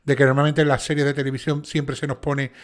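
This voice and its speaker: A man's voice: medium pitch, little pitch movement. A man with a strong Sevillian Andalusian accent, hosting a casual livestream chat and checking in often with his listeners. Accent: Sevillian Andalusian Spanish. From Seville, Spain. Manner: fast, chatty, with many restarts and "¿vale?" checks. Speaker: channel host and narrator.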